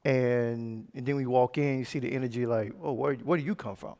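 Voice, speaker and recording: A man with a gravelly edge to his mid-range voice, interviewing a guest at an on-stage fireside chat. He speaks earnestly, restarts words and sometimes rushes. A long hall reverb trails his words. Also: medium pitch, fairly even intonation, mellow. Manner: earnest, halting, repeats words, quick bursts. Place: conference stage in a large hall.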